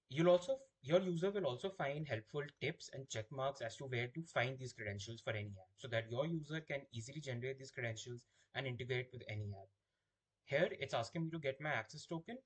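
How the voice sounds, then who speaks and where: A man with a slightly husky voice giving a software demo, explaining things step by step as a product demonstrator. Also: medium pitch, poor audio.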